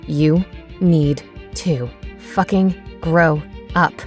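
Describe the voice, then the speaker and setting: A woman's voice: low voice, moderate pace, faint music behind, natural voice. A woman reading voicing a bitter, newly cloned man who lashes out in anger. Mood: angry. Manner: angry, forceful.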